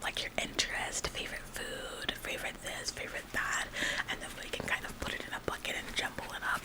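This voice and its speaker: A woman's voice: lightly acted, poor audio. A woman playing an overeager, bossy, relentlessly upbeat female office worker in a whispered ASMR roleplay, with a passive-aggressive, falsely modest manner. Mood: perky, pushy. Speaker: ASMR performer.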